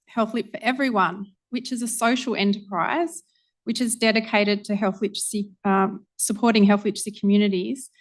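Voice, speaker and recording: A woman researcher giving a public lecture, earnest and organized, often pausing with ums. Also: mid-range voice.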